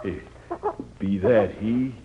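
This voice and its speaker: Folksy, rough old-West miner, tinny radio. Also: male voice, lowish voice, slow pace. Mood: delighted, tickled. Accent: American. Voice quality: folksy, drawling delivery. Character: rough, simple, good-hearted old-West gold miner. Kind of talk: 1950s radio acting. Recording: tinny, noisy 1950s radio recording.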